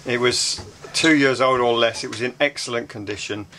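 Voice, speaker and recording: A man's voice: mid-range voice, sharp tone. Dry older man, noisy camera mic. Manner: dry, correcting, halting with restarts. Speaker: sailing vlog co-host. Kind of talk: casual chat to camera. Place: inside a small yacht cabin. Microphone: handheld action camera close to face.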